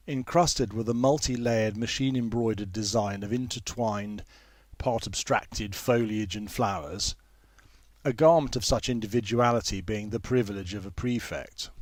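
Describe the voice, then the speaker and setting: A man narrating a literary story in a measured, formal manner with precise phrasing. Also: on the low side, dry recording. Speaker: author reading own novel.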